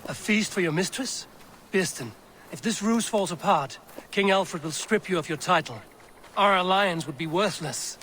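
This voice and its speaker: Viking raider boss in a game cutscene, kinda higher male voice, measured formal talk, bit of background noise. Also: fairly bright tone. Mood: wary, measured. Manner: measured, formal archaic phrasing. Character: steady, pragmatic Viking raider leader in a medieval saga. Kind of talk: dramatic video game cutscene acting.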